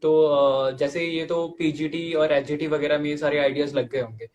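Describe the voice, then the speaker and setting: A man's voice: a little high, fairly even intonation, moderate pace, darkish tone, soft background music. A young man interviewing a guest in casual chat, often agreeing and laughing along.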